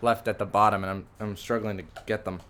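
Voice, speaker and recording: A man's voice: lowish voice, fast, over a noisy crowd. A male podcast co-host chatting casually, laughing often and padding talk with fillers. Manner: laughing, animated, filler-heavy. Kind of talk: casual chat.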